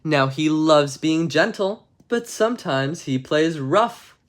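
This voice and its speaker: A man's voice: a little high, animated, slow pace, a little hiss or hum. A man hosting a livestream of bedtime stories, chatting to viewers and reading aloud in a mock-innocent, tongue-in-cheek manner. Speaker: streamer host reading stories. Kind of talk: livestream chat and storybook read-aloud.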